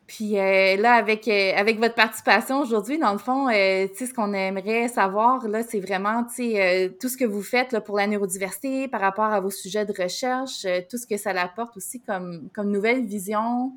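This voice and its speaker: A woman with a Québécois accent hosts a podcast interview in a chatty, informal way. She loops through fillers and restarts, and her voice sits at an ordinary middle pitch. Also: normal speed, hardly any pauses. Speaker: podcast co-host. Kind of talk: casual interview chat.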